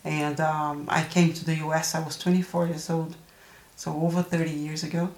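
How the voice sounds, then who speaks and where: A woman in her early sixties from Brazil speaks with a Brazilian accent in a low voice. She tells her personal story as an interview guest, halting and searching for words. The recording is roomy and echoing. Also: little pitch movement, darkish tone. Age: early 60s.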